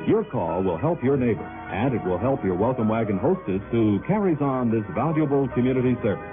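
A man's voice: mid-range voice, animated, brisk. A man reading a scripted vintage radio advertisement as its announcer. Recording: tinny old radio with music.

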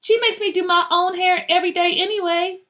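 A woman's voice: very high-pitched, fairly even intonation, brisk, pushed and bright, pitched above the performer's own voice. A woman voicing an eager, dramatic, headstrong young schoolgirl in a children's story. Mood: excited, stubborn. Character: headstrong excitable schoolgirl wanting straight hair.

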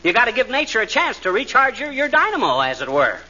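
High, bright, folksy wisecracker. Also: male voice, huge pitch swings, quick pace, full character voice. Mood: exasperated explaining. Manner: folksy, slangy, bantering. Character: cocky, folksy, blustering wisecracking husband and schemer. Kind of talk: 1940s radio comedy acting. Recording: dull 1940s radio recording.

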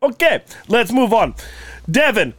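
Podcast co-host, man, high lively voice, fake Russian accent for laughs, dry close mic. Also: dry recording. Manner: mock Russian accent, put on throughout; joking, hammy, deliberate phrasing. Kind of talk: casual podcast chat.

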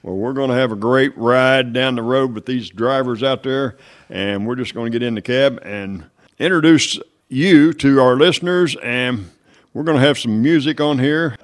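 Male, American, radio host, folksy, mid pitch, casual interview, short affirmations.

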